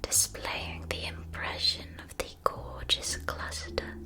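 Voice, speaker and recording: A woman playing a gracious, gushing shopkeeper of witch school supplies in an ASMR roleplay, speaking in a soft, breathy whisper with genteel, formal phrasing. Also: lightly acted. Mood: gracious, attentive. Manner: genteel, unhurried, formal old-fashioned wording.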